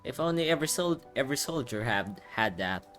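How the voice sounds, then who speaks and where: A man's voice: mid-range voice, lively intonation, fairly quick, fairly bright tone. A man giving casual, rambling commentary on a game playthrough into a headset mic. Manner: informal, rambling asides. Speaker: game streamer. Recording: game music behind voice.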